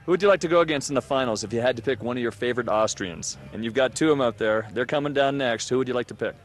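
A man interviewing ski racers at the finish area of an outdoor race, asking quick, upbeat questions. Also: mid-range voice. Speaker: sideline interviewer.

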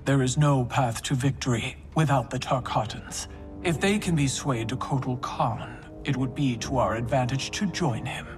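A performer heard as a man, playing a proud, skeptical warrior queen in a video game, with stately, deliberate, formal delivery. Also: medium pitch, over music, close to an everyday voice. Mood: guarded, proud. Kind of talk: video game cutscene acting.

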